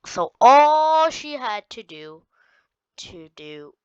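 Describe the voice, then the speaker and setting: A woman reading her own story aloud, halting often and restarting her sentences. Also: sits high, big pitch swings, clean tone, no echo. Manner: halting, with frequent restarts and repeated words. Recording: dull, dry lo-fi recording.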